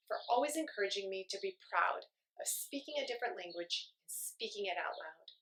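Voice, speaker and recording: A woman's voice: medium pitch, a bit bright, slight background noise, close to an everyday voice, rough recording. A woman reading a young girl's tender poem to her parents in a heartfelt storybook voice. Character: young girl, loving and proud.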